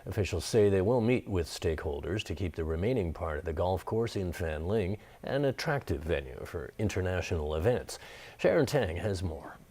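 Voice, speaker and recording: A man's voice: low voice, lively intonation, moderate pace, warm tone. A man anchoring a television newscast, reading from a script. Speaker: TV news anchor.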